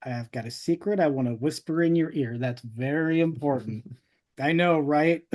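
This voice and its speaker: Casual male podcast host, slightly high voice. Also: unhurried, a little mellow. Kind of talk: casual podcast interview chat.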